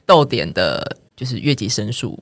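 A man's voice: medium pitch, big pitch swings, normal speed, fairly bright tone, dry recording. A man with a Taiwanese Mandarin accent, talking informally as a podcast guest. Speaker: podcast guest, theatre and publishing professional. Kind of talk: casual podcast chat.

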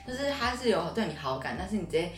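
A woman's voice: on the low side, sing-song, fast talker. Young taiwanese woman co-hosting a chatty podcast, very up-and-down intonation, echoey room. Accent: Taiwanese Mandarin. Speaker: podcast co-host. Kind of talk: casual podcast chat. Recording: roomy sound with music behind.

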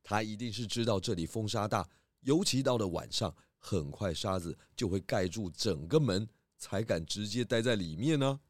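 A man's voice: lowish voice, moderate pace, few pauses, no room sound. A man voicing a clever, confident pig detective in a children's audio drama. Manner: calm, explaining, hesitant fillers when cold.

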